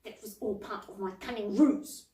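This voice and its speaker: A woman's voice: medium pitch, fairly even intonation, moderate pace, mellow, lightly acted. Woman putting on a sly scheming man. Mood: hissing. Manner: sly, wheedling. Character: scheming smooth-talking man, bossy and sly. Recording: roomy, distant from mic.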